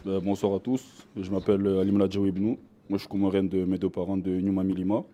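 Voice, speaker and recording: A man's voice: sits low, level tone, fast talker, dark tone, slight background noise. A man from Marseille introducing himself as a basketball player, hesitating often. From Marseille, France. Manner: hesitant, many fillers. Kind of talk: self-introduction.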